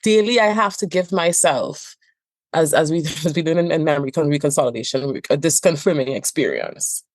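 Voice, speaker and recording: Earnest Trinidadian woman, low voice. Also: brisk, dry recording. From Trinidad and Tobago. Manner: earnest, emphatic, repeats phrases for stress. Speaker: couples therapist and trainer. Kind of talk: reflective podcast interview talk.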